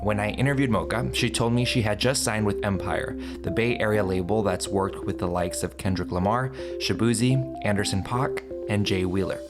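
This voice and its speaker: A man with a somewhat low voice narrates a report in a steady scripted way. Loud music plays under his narration throughout. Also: moderate pace, few pauses.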